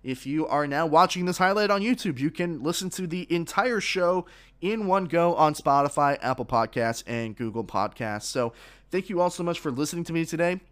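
A man's voice: a little high, expressive, normal speed, a bit bright. A man hosting his own sports podcast, giving opinions with many fillers and restarts, a little congested from a frog in his throat.

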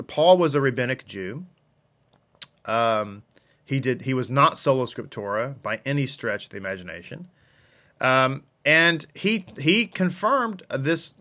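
Guy teaching bible stuff informally, kinda high sharp voice, emphatic, repeats himself to make the point. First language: English. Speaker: Messianic religious teacher.

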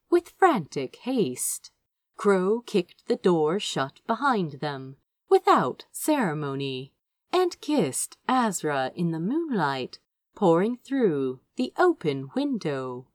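Low-pitched, animated woman reading fiction aloud. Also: no room sound. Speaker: amateur audiobook reader of fan fiction. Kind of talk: scripted narration, dramatic storytelling read aloud.

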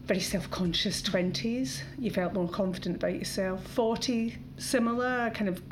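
A woman's voice: medium pitch, normal speed, noisy background. A woman in her fifties interviewing a guest, chatty and drawing her out with personal questions. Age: 50s. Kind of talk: conversational interview.

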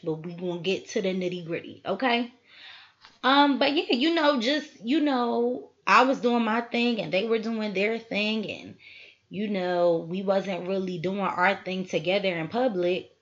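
A woman's voice: mid-range voice, lo-fi sound. A woman, hosting a casual solo podcast, chatty and full of asides to her listeners. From Philadelphia, USA. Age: 20s. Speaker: podcast host.

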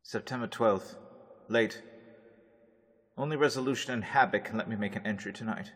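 A man narrating a classic radio drama, reading journal entries in a measured, low voice. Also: fairly bright tone. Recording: long reverb, faint music.

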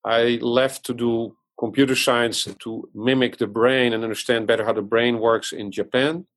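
A man's voice: mid-range voice, steady tone, normal speed, a bit bright. A middle-aged man from the Netherlands with a Dutch accent, a surgeon and company founder answering podcast interview questions in a thoughtful, explanatory way with frequent restarts.

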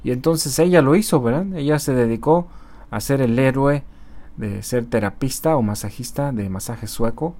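A man who markets on social media, giving a casual motivational talk to his channel's viewers. He speaks conversationally, often checking in with tag questions and pausing on hesitation fillers. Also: medium pitch, dark tone.

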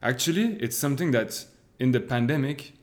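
Thoughtful man, halting. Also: mid-range voice, lively intonation. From Quebec. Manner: thoughtful, halting with many restarts. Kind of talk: casual conversation.

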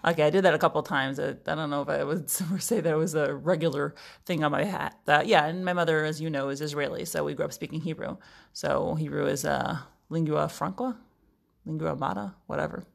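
A woman's voice: low voice, fairly even intonation, a little fast, gravelly. A woman, chatting casually in a playful, wry, articulate way as a podcast co-host. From Brooklyn, New York, United States. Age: 30s. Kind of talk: casual chat.

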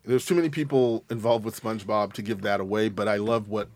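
A man's voice: on the low side, fairly even intonation, quick pace, darkish tone. A man hosting a casual studio chat, flamboyant and exclamatory, with theatrical asides. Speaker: host.

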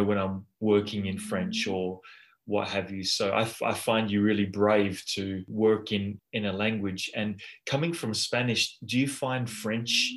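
Man, lowish voice, easygoing chat partner who encourages the other speaker. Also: fairly bright tone. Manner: encouraging, lots of okays and restarts. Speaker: conversation partner. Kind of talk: casual conversation.

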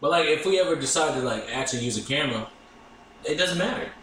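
A man's voice: on the high side, lively intonation, fast, fairly bright tone, roomy sound, a little hiss or hum, poor audio. A young man co-hosting a podcast, laid-back and dry as he jokes around. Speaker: podcast co-host. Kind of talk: casual chat.

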